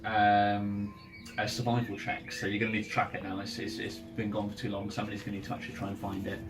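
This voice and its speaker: A man with a fairly low voice acts as game master at a tabletop session. He improvises the scene casually, pausing to think as he goes, with ambient music clearly playing behind him. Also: completely flat delivery. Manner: halting, thinking aloud.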